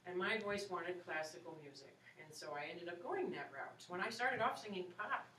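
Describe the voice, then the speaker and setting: Woman, 40s, opera singer giving a casual, encouraging talk to students; bright tone, distant mic with faint hiss. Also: on the low side, lively intonation, normal speed. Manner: chatty, encouraging, many "you know"s. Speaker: opera singer and voice teacher, guest speaker. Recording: echoey room, far from mic, faint hiss.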